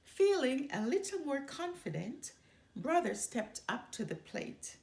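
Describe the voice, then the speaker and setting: Female storyteller, expressive children's read-aloud, slow pace, creaky edges, roomy sound. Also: high-pitched. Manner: expressive, dramatic reading. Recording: roomy echo.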